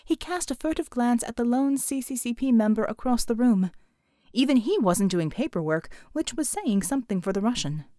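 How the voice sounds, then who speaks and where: A woman's voice: a little high, animated, moderate pace, dark tone, no room sound, clean studio sound. A woman reading an audiobook, narrating fiction expressively from a script.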